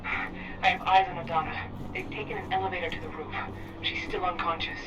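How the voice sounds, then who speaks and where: A woman's voice: on the low side, little pitch movement, fairly quick, muffled recording. An actress playing a worried female rebel lookout reporting through a radio filter in a dramatic video game. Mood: alarmed.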